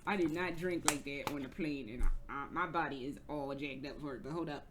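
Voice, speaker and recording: A woman's voice: low voice, a little fast, very noisy. An American woman telling a rambling, casual story for her vlog, swearing freely and restarting her sentences often. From United States. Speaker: vlogger. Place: indoors, travelling in Germany.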